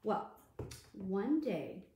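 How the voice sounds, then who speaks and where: A woman hosting an interactive storytime as a children's librarian, talking in an animated, sing-song way to small children. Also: mid-range voice, drawn-out words, reverberant.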